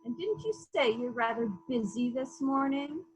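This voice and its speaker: A woman playing a calm, persistent police investigator in a murder mystery reading, formal, measured and politely probing. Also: high-pitched, slight background noise. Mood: polite, firm.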